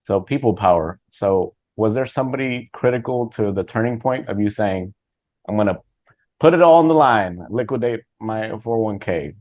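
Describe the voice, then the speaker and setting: Man, podcast host, fairly deep voice, casual, informal, encouraging, dry recording. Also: lively intonation, normal speed, a little mellow, no echo. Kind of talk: casual interview.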